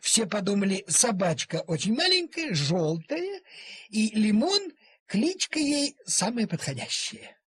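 A man reading a literary animal story aloud in a theatrical, deliberate manner with dramatic pauses. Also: sits high, very animated, husky. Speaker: storyteller, audiobook reader. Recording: cassette transfer of audio play.

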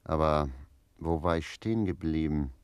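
A wry, fatalistic manservant and storyteller in a radio play. He speaks in a deep voice with a dry, evasive manner, closely recorded without room sound. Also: normal speed, clear, resonant tone, dry recording. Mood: musing. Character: wry, fatalistic manservant telling his tale. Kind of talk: German radio drama acting.

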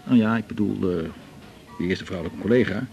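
A man's voice: on the low side, a little fast, vocal fry, darkish tone, highs cut off, soft background music. A man with a Dutch accent from the Netherlands playing a cheerful, confiding doctor chatting with a colleague in a radio drama. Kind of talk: naturalistic radio drama dialogue.

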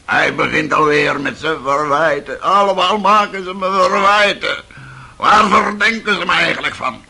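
An actor playing a scheming, buffoonish old father in a radio drama, hoarse and theatrical, mock-pious and accusing. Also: on the high side, normal speed, bright, forceful tone, exaggerated voice. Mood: indignant. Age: older. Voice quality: hoarse, gravelly.

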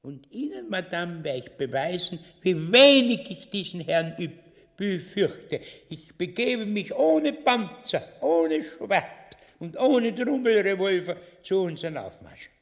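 Pompous, gullible stage king, drawn-out creaky delivery, stubborn and chuckling, radio farce. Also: high-pitched, sing-song, full character voice. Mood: blustering, dismissive. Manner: haughty, stammering, chuckling. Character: pompous, stubborn, foolishly trusting old king and father. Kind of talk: theatrical farce radio acting. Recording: long hall reverb.

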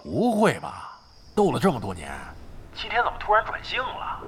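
Brash, highly animated roguish veteran gamer. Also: male voice, normal speed, heavy background noise. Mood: grumbling. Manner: coarse, cocky, exclamatory. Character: crude, brash, scrappy veteran male gamer, roguish grumbler. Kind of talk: Mandarin audio drama acting, comic banter. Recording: studio drama with music underneath.

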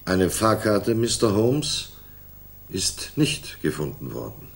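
An actor in a 1960s German radio drama playing an earnest, businesslike police inspector who reasons in a formal manner. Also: male voice, on the low side, very animated, slow pace, vocal fry, exaggerated voice. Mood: businesslike, questioning.

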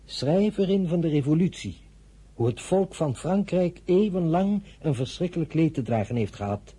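A solemn, idealistic father in a radio play. His voice is very mellow and strongly husky. He speaks with grave, deliberate weight, like an orator addressing his child. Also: fairly high pitch, expressive. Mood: grave, impassioned.

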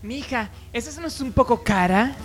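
A woman playing a caring, cautious mother who scolds gently, in a radio soap opera. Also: a little high, expressive, normal speed, soft background music, lightly acted. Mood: doubtful.

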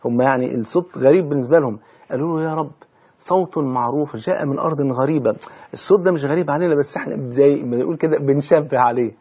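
Male preacher, Egyptian accent, husky mid-pitched voice, quick pace, emphatic religious storytelling. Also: a little mellow. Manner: emphatic, rhetorical questions and repetition for the listeners. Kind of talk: religious storytelling sermon mixing colloquial talk with formal recitation of scripture.

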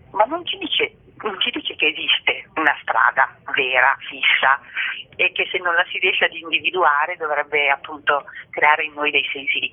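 Through a narrow, tinny telephone line comes a woman with a low, husky voice. She explains her ideas reflectively and didactically, as an articulate guest in a radio interview. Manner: articulate, didactic, uses rhetorical tag questions.

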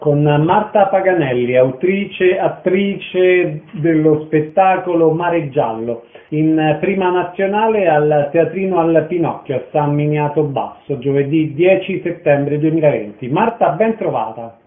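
A man's voice: fairly high pitch, expressive, a little slow, few pauses. A man presenting and asking questions as a radio interview host. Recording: narrow-band, echoey radio sound.

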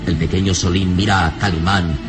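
A man narrating an old radio serial in a dramatic, deliberate, suspenseful scripted style. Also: sits low, steady tone, over music.

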